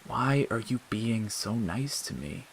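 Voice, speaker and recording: A man's voice: lowish voice, animated, slow pace, vocal fry, slight background noise, voice pushed up, heavily acted, poor audio. A man performs a malicious little talking flower for an audio story. The voice jumps well above his natural register and swoops around. It is childish, jeering and volatile, built for sneers, cackles and false sweetness. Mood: bewildered, soft. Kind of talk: dramatic audiobook character acting.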